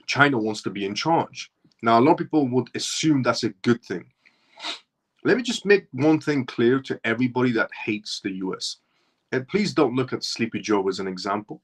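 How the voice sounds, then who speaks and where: A man from Iran hosting a livestream, giving an impassioned opinion monologue that hammers points through repetition and restarts mid-phrase. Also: medium pitch. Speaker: livestream host.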